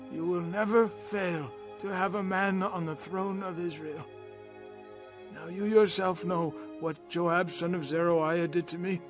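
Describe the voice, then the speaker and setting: An actor playing a dying old king in a dramatized scripture reading, solemn, deliberate and commanding. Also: high voice.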